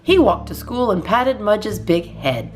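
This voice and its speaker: A woman reading a children's storybook aloud as a storytime host, expressively and in measured phrases with deliberate pauses. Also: medium pitch, big pitch swings, faint music behind.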